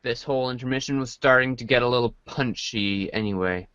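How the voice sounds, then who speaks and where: A man's voice: mid-range voice, brisk, a bit bright, smooth, dry recording, muffled recording. A man reading a comic story aloud as part of a podcast reading group. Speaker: podcast reader. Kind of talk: dramatic reading of a webcomic aloud.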